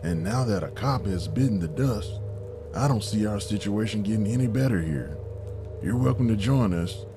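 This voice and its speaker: A man's voice: on the low side, expressive, moderate pace, music in the background, close to an everyday voice. An actor playing a wary, streetwise homeless man in an audio drama, with a laid-back, suspicious manner. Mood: grim, suspicious.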